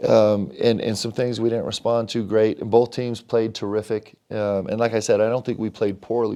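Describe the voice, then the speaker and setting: A middle-aged man, a basketball coach, reflecting on his team's losses in a halting, thoughtful way with many restarts. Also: lowish voice, dark tone. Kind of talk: post-game interview.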